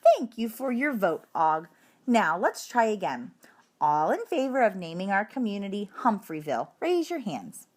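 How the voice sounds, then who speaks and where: Woman reading as an encouraging, lively schoolteacher. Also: medium pitch, normal speed. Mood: pleased. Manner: clear, instructive classroom address. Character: encouraging, orderly female primary school teacher.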